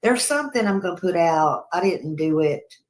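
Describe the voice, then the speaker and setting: A woman with a low voice chats casually on a livestream. She rambles a little and circles back to repeat herself as she addresses her viewers. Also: lively intonation, moderate pace.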